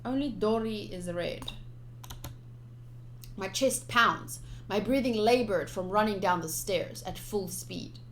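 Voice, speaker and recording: A woman's voice: mid-range voice, slow pace, slight background noise. A woman streamer reading visual novel text aloud, with casual commentary of her own. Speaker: game streamer. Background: game music.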